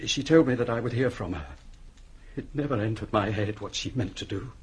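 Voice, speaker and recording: A husky-voiced gentleman of means in a radio drama. He is tormented and emphatic, with a slightly rough edge to his words. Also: lowish voice, a little fast. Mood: anguished, confiding. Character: anguished, wealthy English gentleman haunted by loss. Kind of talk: radio play acting.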